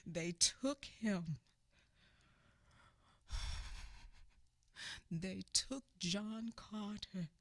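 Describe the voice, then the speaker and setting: Lady acting out a grief-stricken woman witness in a radio drama, real slow and halting, repeats herself, pitch swings a lot. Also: fairly bright tone, no room sound, studio quality, full character voice. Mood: sorrowful. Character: grieving female eyewitness recounting a mob lynching in anguish. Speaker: storyteller. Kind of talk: dramatic radio theater monologue.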